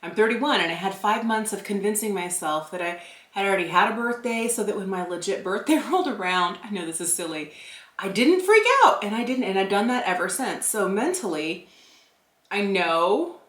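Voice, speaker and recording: A woman's voice: mid-range voice, lively intonation, normal speed, roomy sound. A woman in her forties chatting casually through a makeup tutorial, narrating each step with self-deprecating asides. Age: 40s. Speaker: beauty vlogger.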